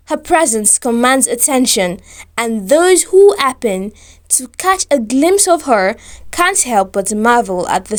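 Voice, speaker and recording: A woman storyteller reading a scripted romantic tale in a formal, descriptive, careful manner. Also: on the high side.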